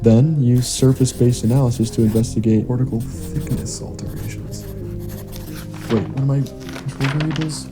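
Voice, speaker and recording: A man's voice: mid-range voice, normal speed, close to an everyday voice. Actor as harried young male student, music behind. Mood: absorbed. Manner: hesitant, muttering to himself. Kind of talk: naturalistic audio drama acting. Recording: prominent music behind dialogue.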